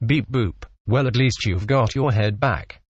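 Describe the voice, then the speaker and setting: A man voicing a laconic, dryly consoling robot crewmate who speaks in a deadpan way and says his beeps as words, in a comic sci-fi radio serial. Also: mid-range voice, a little slow, husky, dull audio.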